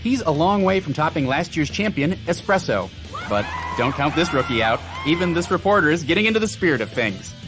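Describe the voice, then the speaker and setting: Earnest, lively higher-pitched race commentator. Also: male voice, brisk. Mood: upbeat. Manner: earnest, polished announcer delivery. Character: earnest, upbeat, literal-minded male race commentator. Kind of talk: sports broadcast commentary. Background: loud music.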